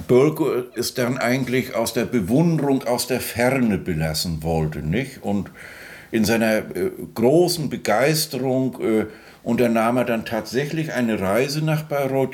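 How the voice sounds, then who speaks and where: A man's voice: mid-range voice, darkish tone. A man giving informal spoken commentary, hesitant and searching for words in long winding sentences.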